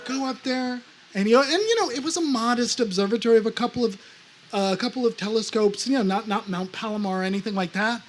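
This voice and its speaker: A man's voice: extremely high pitch, quick pace, slight background noise. A man from the United States, a panelist author, telling a story animatedly on a live panel and acting out other people's voices.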